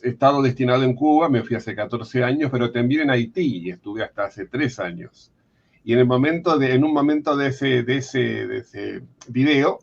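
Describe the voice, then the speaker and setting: A man's voice: medium pitch, vocal fry, lo-fi sound. A male interview host asking a long, rambling question in a casual way.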